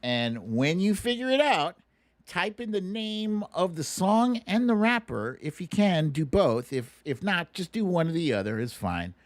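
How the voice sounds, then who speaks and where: A man's voice: high voice, sing-song, unhurried, no echo. A middle-aged American man hosting a casual livestream, informal and opinionated, often repeating words for emphasis. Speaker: livestream host.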